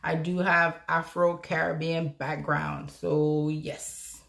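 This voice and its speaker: Friendly female business mentor on a live stream, low-ish voice, chatty and upbeat, quick to laugh. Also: flat delivery, vocal fry, fairly bright tone. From South Florida, USA. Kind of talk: live-stream chat answering viewers.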